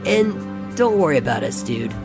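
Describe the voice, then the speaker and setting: A man's voice: a little high, big pitch swings, normal speed, creaky, full character voice. A man playing an upbeat, laid-back teenage mutant turtle in an audio comic drama, slangy and earnest. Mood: hopeful. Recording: music under the voice.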